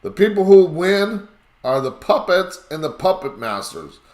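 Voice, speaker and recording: A man with a raspy voice hosting a live call-in talk show, talking in a rambling, emphatic way. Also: sits high, sing-song, a little slow, a little mellow, reverberant.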